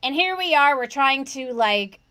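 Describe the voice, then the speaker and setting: A woman's voice: sits high, expressive, measured pace, pushed and bright. A woman hosting a casual conversation about online tutoring, earnest and chatty, asking rhetorical questions. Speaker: host, online tutoring coach.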